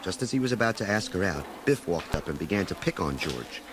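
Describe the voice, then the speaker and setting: Low-voiced male storybook narrator. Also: very animated, brisk, background noise. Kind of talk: scripted children's storybook narration.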